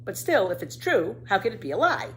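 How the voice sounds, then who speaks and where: A woman's voice: mid-range voice, sing-song, fairly quick, a bit bright, slight background noise. A woman voicing a bold, playful young girl from a children's storybook, with a breezy, cheeky, exclamatory delivery. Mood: teasing.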